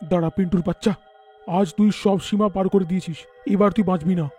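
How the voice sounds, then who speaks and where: Righteous cartoon farmer, played by a man, high male voice, dark soft tone, emphatic, dramatic. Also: fairly even intonation, fast, soft background music, close to an everyday voice. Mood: vengeful. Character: righteous, protective chicken farmer, later a vengeful ghost. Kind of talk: animated horror folk-tale dub.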